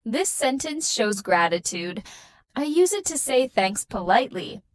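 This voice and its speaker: A woman teaching a scripted beginner English lesson, speaking in slow, carefully spaced, patterned sentences. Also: a little high, very animated, no room sound.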